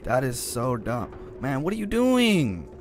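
Young guy with a gravelly morning voice narrating his gameplay, grumbles at the game, quiet game music behind him. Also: medium pitch, wildly swooping pitch, unhurried. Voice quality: raspy. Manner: easily frustrated, groaning and complaining at the game. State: raspy early-morning voice. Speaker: gaming channel host. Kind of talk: casual let's-play commentary.

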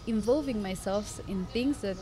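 A woman in her twenties from Rwanda speaks as a podcast guest at a medium pitch. She tells her story conversationally and emphatically, repeating phrases to drive points home. She is close to the microphone. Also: moderate pace. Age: 20s. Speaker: podcast guest, law student. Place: open-air covered café terrace. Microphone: close podcast microphone on table stand.

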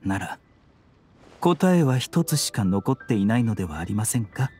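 An actor playing a serene, idealistic young male physician in a game, speaking in polite, measured, philosophical phrases. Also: lowish voice, big pitch swings, a little mellow, husky, soft background music. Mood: composed, determined. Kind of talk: scripted game dialogue.

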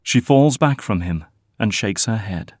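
A man narrating an audio drama in a measured, scripted style. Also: lowish voice, animated, darkish tone, husky, no echo, studio quality.

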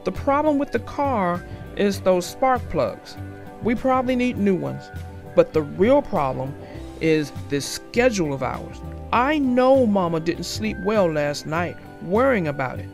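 Father bear, voiced by a woman reader, lowered pitch, gentle, steady and reassuring, storybook read-aloud. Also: a little slow, creaky, faint music behind, heavily acted. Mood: explaining.

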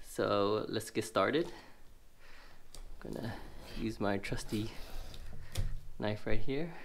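A man's voice: fairly low pitch, animated, slow, gravelly, noisy background. A man with a stuffy, sniffly voice casually narrating a gadget unboxing at a table, rambling with many fillers. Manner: rambling, many fillers, thinking aloud while handling the box. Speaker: tech reviewer. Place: indoors at a table.

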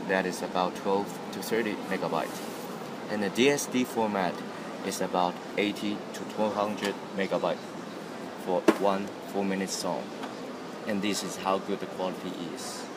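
A man narrating a product demonstration as its presenter. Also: low voice. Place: indoor trade show demo room. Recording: noisy room, air-conditioning hum.

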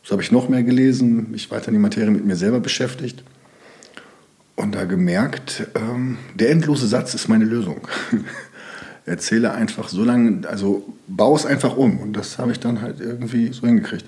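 A man's voice: lowish voice, moderate pace, dark tone. A young man from Germany, speaking as a podcast guest in loose, rambling sentences full of fillers. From Germany (Sauerland). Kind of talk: casual interview talk.

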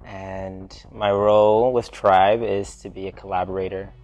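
A young man from the Bronx, a dancer speaking in a measured, thoughtful way about his art. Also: low voice, flat delivery, a little mellow, soft background music. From Bronx, New York. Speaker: dancer and performer. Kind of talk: reflective interview talk.

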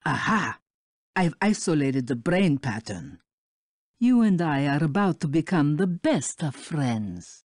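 An actress voicing a scheming spider countess in a video game, theatrical and condescending. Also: female voice, low voice, sing-song, slow pace, darkish tone, dry recording, studio quality, heavily acted. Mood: gloating.

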